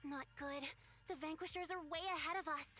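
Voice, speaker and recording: An alert young female scout in a game, very high in pitch. She sounds wary and urgent, with light music underneath. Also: fairly even intonation, fairly quick, bright, forceful tone. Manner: urgent, watchful. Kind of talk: video game voice acting.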